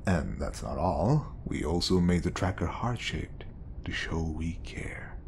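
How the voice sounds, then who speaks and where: A man playing a smooth, scheming, obsessive bodyguard who feigns polite professionalism in an audio roleplay. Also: low voice, lively intonation, measured pace, a little hiss or hum, full character voice. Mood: reassuring, insistent.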